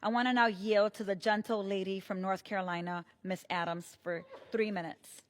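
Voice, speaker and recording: A woman chairing the session, handing over the floor with brisk, courteous procedural phrasing. Her voice sits at an ordinary mid pitch. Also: steady tone. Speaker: presiding member yielding time. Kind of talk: formal procedural remarks.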